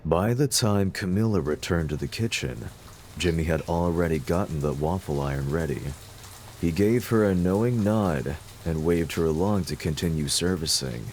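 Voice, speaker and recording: A man's voice: deep voice, animated, moderate pace, a little mellow, a little hiss or hum. A man narrating a scripted story as the narrator of an audio drama.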